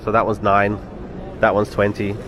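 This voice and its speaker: A man's voice: lowish voice, monotone, moderate pace, background noise. A man chatting casually to camera while walking through a city as a travel vlogger, with a British accent that listeners often mistake for American. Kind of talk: casual travel vlog narration. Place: outdoors in a city street.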